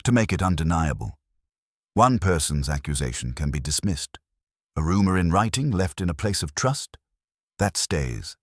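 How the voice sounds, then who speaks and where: A man voicing a quiet, unhurried police inspector in a detective audio drama, measured and softly spoken. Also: low voice, big pitch swings, dry recording, clean studio sound. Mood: quietly certain.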